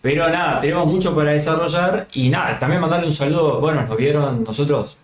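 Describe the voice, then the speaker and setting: A man from Salta with an Argentine Spanish accent, a guest on a casual home stream, speaking softly and hesitantly with many fillers. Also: a little high, fast, echoey room. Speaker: guest co-host.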